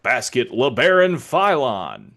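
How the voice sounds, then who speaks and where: An American man voicing a basketball arena announcer, controlled and formal, with clipped calls and deliberately delivered names. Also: medium pitch, big pitch swings, fairly bright tone, heavily acted. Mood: emphatic. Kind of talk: public address sports announcing demonstration.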